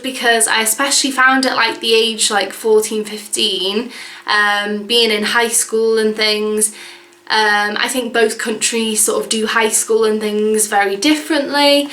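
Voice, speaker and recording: A woman's voice: on the high side, pushed and bright, roomy sound. A woman, chatting casually in a vlog with lots of fillers and "like"s. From Wales. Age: 20s. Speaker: vlogger. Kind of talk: casual chat.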